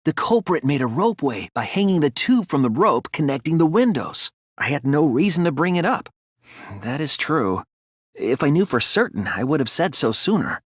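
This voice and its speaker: A man voicing an earnest young apprentice detective in a dramatic anime video game, reasoning with deliberate intensity. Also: fairly high pitch, big pitch swings, breathy edge, dry recording, clean studio sound. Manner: earnest, deliberate, deductive, sometimes hesitant.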